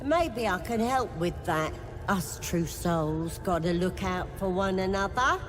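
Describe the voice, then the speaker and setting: Slow, sly goblin priestess in echoing reverb. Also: female voice, on the low side, lively intonation. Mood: coaxing, sly. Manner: coarse, colloquial, wheedling and bossy. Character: zealous goblin priestess, sly, commanding, folksy cult leader. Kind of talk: video game character acting. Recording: long reverb, music clearly behind.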